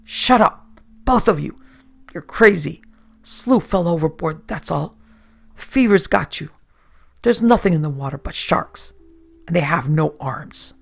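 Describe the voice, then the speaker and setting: A narrator voicing a desperate boat captain who barks and shouts orders at his men. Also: mid-range voice, level tone, slow pace, gravelly, few pauses. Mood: furious.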